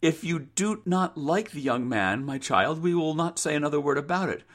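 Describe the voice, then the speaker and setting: A man voicing a gentle, concerned mother who coaxes in formal old-fashioned language. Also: a little high, animated, moderate pace, pitched above the performer's own voice. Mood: concerned, coaxing. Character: gentle, coaxing, concerned middle-aged mother. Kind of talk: dramatized reading of an old sentimental tale.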